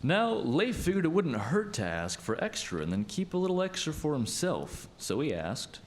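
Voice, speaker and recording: A man narrating a scripted radio play tale as an expressive, dramatic storyteller. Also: on the high side, moderate pace, a little hiss or hum.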